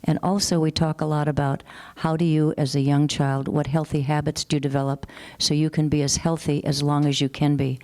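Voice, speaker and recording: A woman educator with a Minnesotan accent speaking on a panel, steady and matter-of-fact as she lists examples. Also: low voice, brisk, hardly any pauses. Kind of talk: panel discussion remarks. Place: conference session room.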